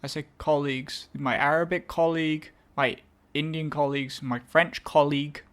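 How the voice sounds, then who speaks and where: A man's voice: a little high, fairly even intonation, normal speed, fairly bright tone. A young English man hosting a casual solo music show, rambling and correcting himself with wry asides. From England. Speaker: podcast host. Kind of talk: casual solo chat introducing songs.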